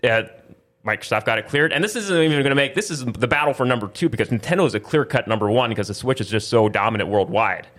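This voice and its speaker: Male podcast host, medium pitch, informal news-chat delivery with rambling explanations. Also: animated, fast, a bit bright. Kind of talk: casual news discussion.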